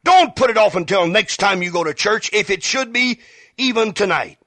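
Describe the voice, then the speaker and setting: High-voiced, forceful male radio preacher. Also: animated, normal speed, dry recording. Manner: emphatic, repeats phrases, addresses the listener directly. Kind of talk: fervent evangelistic radio sermon.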